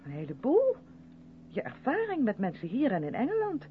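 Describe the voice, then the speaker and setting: A narrow, hissy vintage radio recording carries an earnest, defensive woman of the theatre. Her mid-pitched voice moves animatedly as she insists and justifies herself in radio drama style. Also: wildly swooping pitch, moderate pace. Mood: troubled, pleading. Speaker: radio play actor. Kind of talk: Dutch 1970s radio drama acting.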